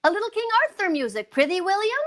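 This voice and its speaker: An actress playing an arch, playful mock-medieval damsel in a 1940s radio comedy commercial. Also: female voice, extremely high pitch, sing-song, fast talker.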